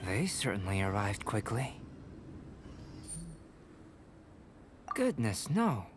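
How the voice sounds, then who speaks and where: A man playing a composed, cerebral young alchemist in a video game, speaking in a measured, formal, detached way. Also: on the low side, big pitch swings, music in the background. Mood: unruffled.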